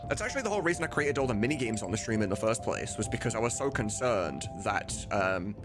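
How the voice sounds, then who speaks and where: A man with a fairly low voice talks to his stream viewers in a loose, informal way, swearing now and then and working out his thoughts aloud. Game music plays clearly behind him. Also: very animated, fast. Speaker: streamer and voice mod creator. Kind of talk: casual live stream chat. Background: video game music.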